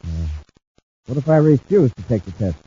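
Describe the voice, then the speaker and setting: An actor playing an evasive, nervous suspect, hesitant and defensive, in a 1950s radio adventure serial. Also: male voice, mid-range voice, big pitch swings, moderate pace, mellow, slightly rough. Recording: muffled, noisy old radio broadcast recording.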